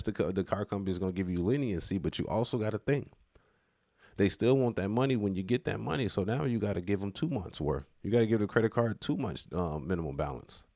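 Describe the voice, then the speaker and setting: Man, very low voice, dry close sound, casual street slang, opinionated, repetitive emphasis. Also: a little mellow, dry recording. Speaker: commentator on current affairs. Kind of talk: casual unscripted opinion commentary.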